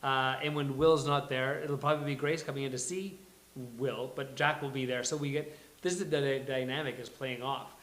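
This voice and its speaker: Male panel host, casual, mid-pitched voice, echoey live room. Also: steady tone, moderate pace, a bit bright. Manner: conversational, thinking aloud. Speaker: host of a live table read discussion. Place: live venue with a small studio audience.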